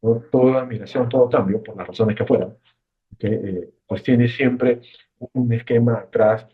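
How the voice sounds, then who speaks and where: A man's voice: mid-range voice, fairly even intonation, a little slow, breathy edge. A man presenting a webinar, answering questions off the cuff in a careful way, often repeating and rephrasing himself. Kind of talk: unscripted webinar Q&A explanation. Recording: muffled, phone-like webinar audio.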